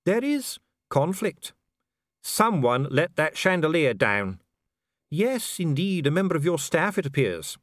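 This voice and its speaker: A man voicing a calm dwarf official with a measured, bureaucratic, smoothly courteous manner in an audiobook. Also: fairly high pitch, no room sound, clean studio sound, voice pushed up. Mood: coolly polite. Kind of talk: audiobook character voice.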